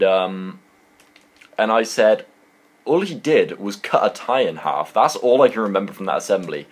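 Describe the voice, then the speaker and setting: Young British man with a low voice. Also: slightly rough. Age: young adult. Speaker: YouTuber telling a story. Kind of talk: casual anecdote storytelling.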